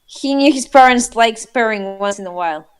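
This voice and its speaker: A woman's voice: on the high side, moderate pace, clean tone. A woman reading fan fiction aloud in a casual, informal way, slipping into giggly banter as co-host.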